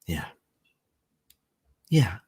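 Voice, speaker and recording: A man voicing a weary small-town doctor, speaking low and subdued. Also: drawn-out words, darkish tone. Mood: grieving.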